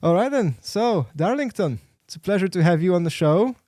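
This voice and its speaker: A man hosting a podcast, interviewing in a casual, conversational way with soft-edged questions and frequent affirming interjections. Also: high-pitched, expressive, moderate pace, no room sound, clean studio sound. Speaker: podcast host.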